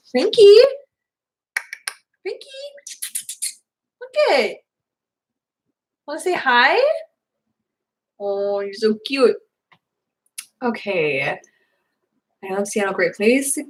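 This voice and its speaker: A young woman in her twenties chatting casually through viewer questions, rambling with lots of fillers, her voice slightly hoarse. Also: fairly high pitch, very animated, slow pace, long pauses. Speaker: vlogger answering viewer questions. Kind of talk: casual live Q&A chat.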